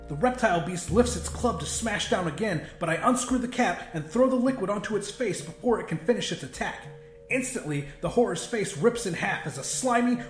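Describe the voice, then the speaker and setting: A man reading a first-person horror story aloud with intense, theatrical delivery. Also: sits high. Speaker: audiobook narrator. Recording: roomy echo with music behind. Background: music.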